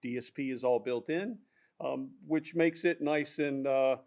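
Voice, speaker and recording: A man explaining loudspeaker designs in a technical product discussion, halting with fillers and restarts. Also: mid-range voice. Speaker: audio product specialist.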